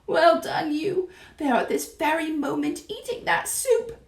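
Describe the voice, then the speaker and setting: Hushed, tender old grandmother played by a woman. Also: sits high, expressive, unhurried, creaky, roomy sound, distant mic, voice pushed up, full character voice. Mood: tender concern. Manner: hushed, fond, whispering. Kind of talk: dramatised storybook reading.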